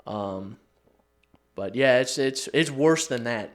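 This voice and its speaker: A man's voice: medium pitch, lively intonation, takes it slowly. A man in his early twenties co-hosting a podcast, joking casually with a friend in profane, slangy talk. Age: early 20s. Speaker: podcast co-host.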